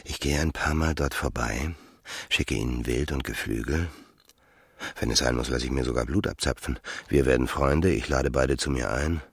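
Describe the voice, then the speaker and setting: A cynical, smooth-tongued seducer in a radio drama. The voice is extremely low and crackles with fry, intimate and calculating. It is recorded close and dry. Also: male voice, moderate pace, dry recording, heavily acted. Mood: calculating, musing. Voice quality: gravelly, husky. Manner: intimate, murmuring, drifting into whispers. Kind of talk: German radio play acting.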